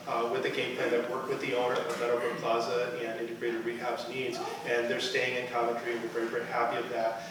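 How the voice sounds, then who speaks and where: A man, a town planning director, giving an earnest awards-night speech full of fillers. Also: mid-range voice. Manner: brisk, earnest, with many fillers. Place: banquet room at an awards dinner. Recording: distant echoey hall with noise.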